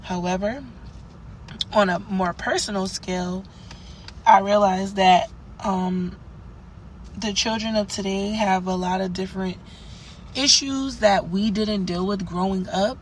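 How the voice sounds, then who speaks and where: A young woman, hoarse for days, hosting a podcast and giving rambling, conversational spiritual advice with plenty of fillers. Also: medium pitch, fairly even intonation, a little slow, long pauses, a little hiss or hum, low-quality recording. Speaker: podcast host. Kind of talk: casual spiritual advice monologue.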